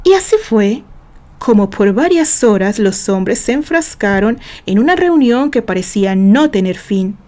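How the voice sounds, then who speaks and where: A woman from Mexico reads a serialized romance drama aloud. She holds a steady, mid-pitched storytelling voice, neither high nor low. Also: measured pace. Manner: reads with acted character voices. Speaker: narrator. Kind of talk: audiobook-style reading of a romance serial.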